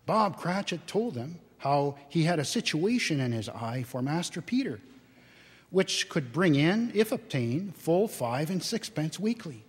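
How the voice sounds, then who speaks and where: A man giving a theatrical, expressive live storybook narration in a church. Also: on the high side, measured pace, breathy edge. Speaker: dramatic reader. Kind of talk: scripted storybook narration before an audience. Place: inside a church, live reading. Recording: church hall with long reverb.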